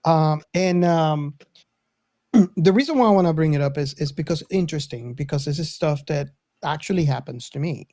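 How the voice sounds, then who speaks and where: Mellow, close-sounding man hosting a chat. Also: fairly high pitch, normal speed, no room sound. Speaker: podcast host. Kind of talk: casual film discussion.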